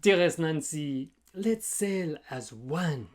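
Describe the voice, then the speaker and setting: A man voicing a lovestruck cockroach captain in a children's storybook, wooing in a drawn-out, romantic manner. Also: a little high, expressive. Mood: amorous.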